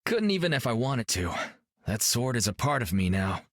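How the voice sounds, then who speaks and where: An actor playing a good-natured young sword-wielding hero in a video game, with a husky voice. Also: male voice, mid-range voice, very animated, moderate pace, a bit bright, dry recording, studio quality. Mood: easygoing.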